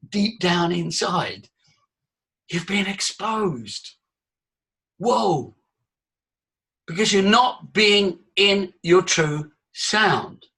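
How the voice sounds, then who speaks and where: A man's voice: high-pitched, lively intonation, very slow, creaky, pauses often, sharp tone, husky. A man leading a voice workshop, demonstrating breathing and vocal sounds for his students. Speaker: voice coach. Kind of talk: live workshop teaching.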